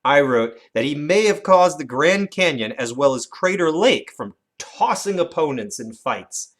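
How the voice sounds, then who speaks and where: A teacher giving an instructional lesson for children, with animated, expressive storytelling emphasis. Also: mid-range voice, a little slow. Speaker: elementary teacher.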